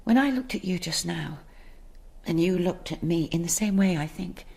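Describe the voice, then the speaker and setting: A woman's voice: fairly low pitch, lively intonation, moderate pace, gravelly, a little mellow, breathy edge, exaggerated voice. An actress playing a prim, formal lady in a radio drama, speaking with precise diction. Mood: tender. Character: Rose Sayre, prim English spinster, missionary's sister.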